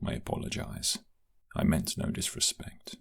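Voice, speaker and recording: A man's voice: normal speed, a bit bright, natural voice. A man playing a loyal guard in a crime syndicate for an audio drama, speaking earnestly and with formal deference. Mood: apologetic. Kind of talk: audio drama roleplay acting.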